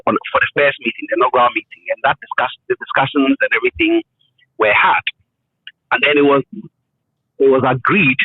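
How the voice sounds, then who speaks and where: A middle-aged man with a Ghanaian accent telling his story in an interview, in deliberate phrases with emphatic repetition. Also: mid-range voice, husky, dry recording. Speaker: interview guest. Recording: thin phone-like line, muffled detail.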